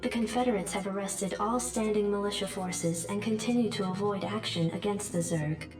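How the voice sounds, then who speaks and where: A woman's voice: medium pitch, fairly even intonation, moderate pace, close to an everyday voice. A woman voicing a formal, detached military computer adjutant, giving clipped announcements in a game briefing. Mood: impassive, official. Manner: clipped, impassive. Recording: echoing, distant, music behind.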